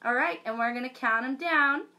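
A woman in her thirties, a children's librarian, reading a picture book and leading a sing-along for young children in a lively storytime manner. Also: high voice, moderate pace. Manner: bright, animated storytime voice. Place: home room with a bookshelf backdrop.

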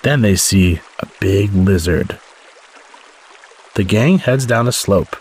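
A man reading a children's storybook aloud as the host, in expressive narration with a slightly husky voice. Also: fairly low pitch, slow pace. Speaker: story podcast host and reader.

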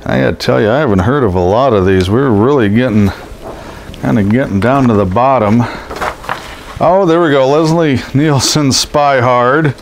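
A man's voice: mid-range voice, normal speed. Scratchy stuffed-up man chatting casually. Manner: rambling, lots of ums and uhs. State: stuffed up from a head cold. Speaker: collectibles seller. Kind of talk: casual show-and-tell narration. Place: indoors over boxes of posters.

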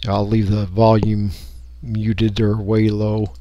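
A man's voice: on the low side, monotone, slow pace, dark tone, dry recording, dull audio. Man, casual tutorial, dry close sound. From United States. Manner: unscripted, folksy, explaining each click. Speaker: radio hobbyist giving a tutorial. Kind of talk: casual step-by-step software tutorial. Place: indoors, voice-over for a screen recording.

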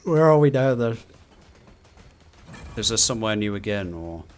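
A man's voice: fairly low pitch, expressive, normal speed, faint music behind. A man chatting casually while playing a co-op video game, teasing in a dry, mock-serious way. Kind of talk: casual gaming chat.

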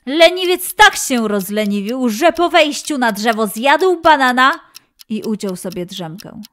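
A woman narrating a children's story in an expressive style. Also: fairly high pitch, measured pace.

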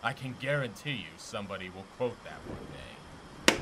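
A man's voice: lowish voice, animated, moderate pace, a bit bright, low-quality recording. A man playing a bitter, contemptuous older blacksmith in ancient Greece, haughty and declamatory, in an audio drama. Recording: noticeable background noise.

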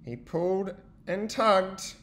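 A man giving an expressive, child-directed storybook read-aloud, like a teacher, with chatty asides. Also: high voice, slow pace.

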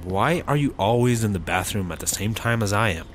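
Man playing a low-voiced uptight cop. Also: animated, fairly quick, a little hiss or hum, close to an everyday voice. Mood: annoyed. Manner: fretful, exasperated straight man. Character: uptight, anxious, bashful male cop. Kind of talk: comedy audio drama acting.

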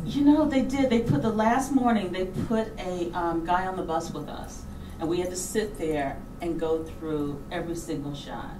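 A middle-aged woman telling anecdotes casually on stage into a handheld microphone, animated and informal, acting out reactions. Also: on the low side, normal speed. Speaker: documentary filmmaker, guest speaker. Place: auditorium stage before an audience. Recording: roomy, distant hall sound with background noise.

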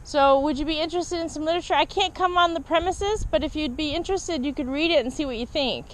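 A woman activist making polite, persuasive appeals to passersby in an earnest outreach pitch. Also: quick pace. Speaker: activist street evangelist. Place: outdoors beside a church parking lot roadway. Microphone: body-worn action camera on the speaker. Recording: noisy outdoor body-camera audio. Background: passing vehicles, outdoor noise.

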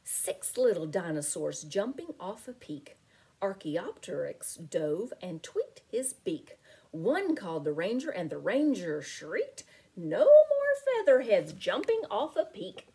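Slow, expressive woman reading a picture book. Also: mid-range voice, breathy edge. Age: middle-aged. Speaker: teacher reading aloud to children. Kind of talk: picture book read-aloud. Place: small home room with window blinds.

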